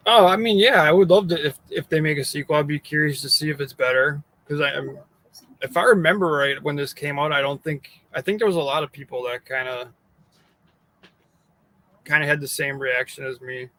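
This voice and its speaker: A man's voice: a little high, a little fast, pushed and bright. A man speaking casually as a co-host, sharing his reactions. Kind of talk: casual chat.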